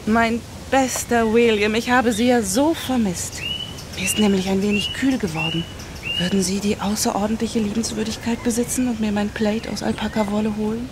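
A woman's voice: mid-range voice, fairly even intonation, normal speed, background noise, natural voice. Woman playing a genteel, calculating period lady. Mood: coaxing. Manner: genteel, mannered, polite. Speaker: actress in an audio drama. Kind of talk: German audio drama acting.

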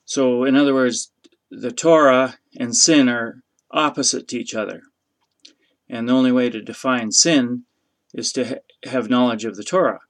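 Guy in his 50s talking off the cuff like a bible teacher, mid pitched voice, kinda halting and thoughtful. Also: steady tone. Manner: halting, thoughtful, searching for words. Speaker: host of a religious teaching video. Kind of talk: unscripted, informal religious teaching monologue. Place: small room inside an RV.

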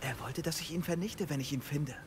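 Actor as a higher-voiced earnest young wizard hero. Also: male voice, a little fast, lightly acted. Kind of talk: video game character lines and spell calls. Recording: game audio under clear noise.

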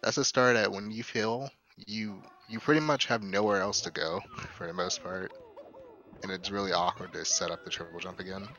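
A man with a deep voice giving casual, laid-back commentary on a video game speedrun race. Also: fairly even intonation, moderate pace, bright, forceful tone, muffled recording, soft background music. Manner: laid-back, laughs often.

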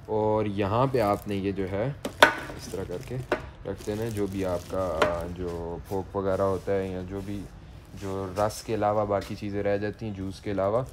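A man's voice: lowish voice, noisy background. Young man explaining steps. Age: young adult. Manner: patient step-by-step explaining, with drawn-out "aa" fillers. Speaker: shop salesman reviewing products. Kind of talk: product demonstration. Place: indoor electronics shop.

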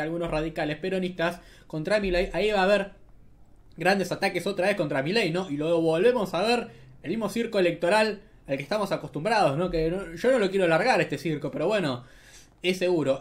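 A young man with an Argentine accent talks through political news in a loose, sarcastic way, tossing in tag questions as he goes. His voice sits a little high for a man. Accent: Argentine Spanish. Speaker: political commentary host. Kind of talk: casual political commentary over clips.

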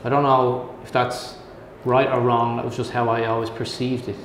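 A man in his thirties from Ireland, speaking with an Irish accent, asks questions in a casual, eager way. His voice is mid-pitched, and the room adds a roomy echo with a faint hum of noise behind. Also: little pitch movement, normal speed. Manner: casual, enthusiastic, chatty. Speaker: interviewer, host. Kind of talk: conversational interview. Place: office meeting room at a table. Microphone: lapel mic.

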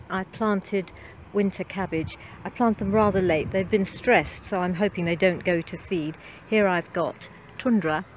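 A woman's voice: lowish voice, a little hiss or hum, low-quality recording. A middle-aged woman chatting casually about her garden while filming outdoors on a phone, with frequent ums. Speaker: gardening vlogger. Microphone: handheld phone camera.

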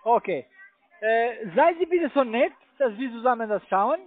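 A German-speaking man, working as a call center agent and giving halting but polite, insistent computer instructions. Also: extremely high pitch, expressive, no room sound. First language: not German. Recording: tinny, band-limited phone line.

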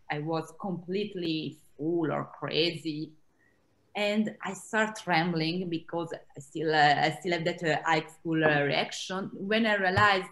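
A woman's voice: lowish voice, rough recording. A woman in her thirties with an Italian accent, talking animatedly on a video call from a small room at home, with frequent laughs and restarts. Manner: animated, gestures a lot, laughs, many restarts. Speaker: author and Greek scholar. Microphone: laptop webcam on a video call.

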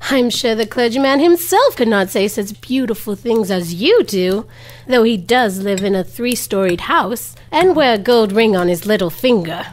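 A doting country wife played by a woman in an audio drama. Her voice is high, and she gushes with admiration and flattery. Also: moderate pace, close to an everyday voice. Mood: admiring. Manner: gushing, flattering. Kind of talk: theatrical audio drama acting.

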